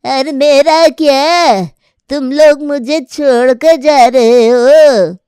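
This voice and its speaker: A woman voicing a cranky old grandmother and sarcastic mother-in-law who scolds and complains in an animated family story. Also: sits high, extremely slow, no echo, studio quality. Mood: indignant.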